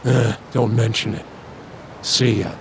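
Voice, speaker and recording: An older street tough in a game cutscene. He is sly and offhand, drawling slowly in a creaky, gruff voice, with clear street noise behind him. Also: lowish voice. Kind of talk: video game cutscene acting.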